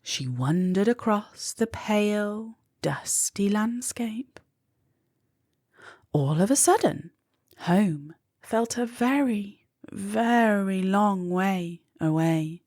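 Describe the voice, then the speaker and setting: A woman narrating a children's storybook expressively, with deliberate pauses between phrases. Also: mid-range voice, lively intonation, darkish tone, dry recording, clean studio sound.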